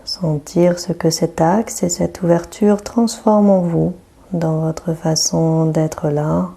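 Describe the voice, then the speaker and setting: A woman guiding a yoga nidra meditation with a soft, breathy voice, giving calm, gentle instructions with long pauses. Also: on the low side. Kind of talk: guided relaxation meditation.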